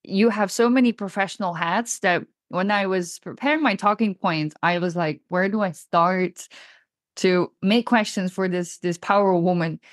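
A woman podcast host speaks in a conversational interviewing style. Her voice sits at a middle pitch, recorded dry with hardly any room sound. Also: normal speed, dry recording.

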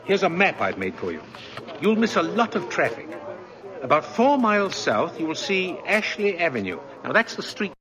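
An older man acting a kindly, gentle old man believed to be Santa Claus in a 1940s radio drama. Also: sits high, very animated, normal speed, crowd noise. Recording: old radio broadcast with music.

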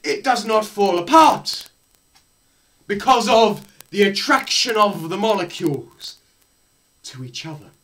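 A young man performing a theatrical scripted lecture, melodramatic, swinging between hushed murmurs and loud cries. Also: sits high, expressive, a little slow, pauses often. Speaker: presenter performing a dramatised physics lecture. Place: small plain room indoors.

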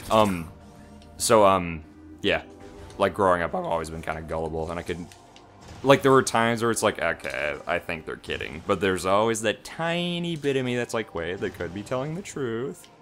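Low-pitched young guy joking crudely. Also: expressive, normal speed, fairly bright tone, soft background music. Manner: crude, swearing banter. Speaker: let's play co-host. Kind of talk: casual joking chat over video game play.

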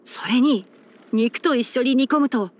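Game role: clever, gentle young male fox-eared ranger, high boyish voice, measured and softly wry. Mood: matter-of-fact. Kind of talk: Japanese game character voice-over.

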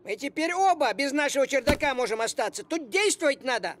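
A man voicing a grumbling, practical, stubborn cartoon cat, expressive and indignant. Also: very high-pitched, brisk, background noise. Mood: indignant, alarmed. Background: music.

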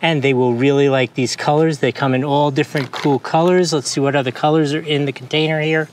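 Higher-voiced American man reviewing gear outdoors. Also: steady tone, brisk. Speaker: product reviewer, video host. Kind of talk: casual unscripted review to camera. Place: mostly outdoors in a driveway and street. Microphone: clip-on lapel mic. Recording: clear outdoor background noise, passing vehicles.

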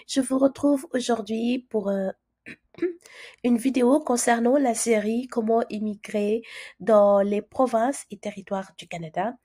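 A woman walks viewers through a website in a relaxed, chatty way, pausing often and restarting her sentences. She has a cold and keeps her voice low, so it comes out husky. Her tone is mellow and dark rather than bright. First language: French. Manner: chatty, hesitant with frequent fillers and restarts. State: has a cold, speaking softly. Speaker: immigration channel host. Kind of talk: screen-share tutorial, casual explaining.

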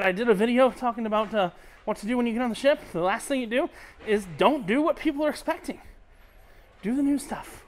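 A tipsy man vlogging aboard a cruise ship, talking bluntly with plenty of swearing and sometimes dropping to a mutter. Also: extremely high pitch. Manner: blunt, swearing, ranting, drops to a mutter at times. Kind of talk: casual walk-and-talk vlog.

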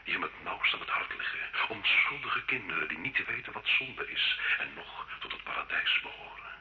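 An actor playing a tormented, murderous French nobleman confessing in an old radio drama, theatrical and impassioned. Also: low-pitched. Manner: impassioned, anguished, dramatic swings from whisper to cry. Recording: muffled old radio recording, faint noise.

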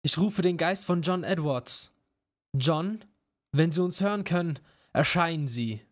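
A man's voice: a little high, animated, unhurried, dry recording, studio quality. A young man playing a curious student who takes charge, in an audio drama. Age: young adult. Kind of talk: amateur audio drama acting. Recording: music behind.

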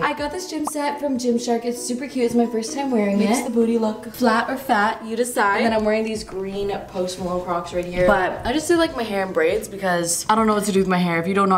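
A 19-year-old woman from Canada with a North American accent, chatting casually to a handheld camera in a slangy, candid, self-deprecating way. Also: mid-range voice. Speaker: vlogger, high school student. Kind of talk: casual chatty daily vlog. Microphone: handheld camera at arm's length.

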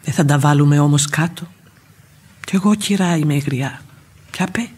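An actress playing a tough, fiercely loyal old nursemaid in a radio play, earthy, outspoken and emphatic, with a husky voice. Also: female voice, low voice, darkish tone. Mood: firm, consoling. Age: older.

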